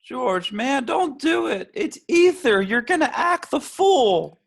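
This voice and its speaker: Paranoid young stoner dude, played by a woman, slangy and rambling, very animated swings in pitch, amateur table read. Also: takes it slowly, vocal fry, full character voice. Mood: lazy, amused.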